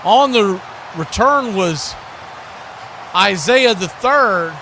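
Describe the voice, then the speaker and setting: Male play-by-play streamer, slightly high voice, excited on the action and chatty between snaps, clear background noise. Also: drawn-out words. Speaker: play-by-play host of a football watch party. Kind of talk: live play-by-play commentary with casual asides.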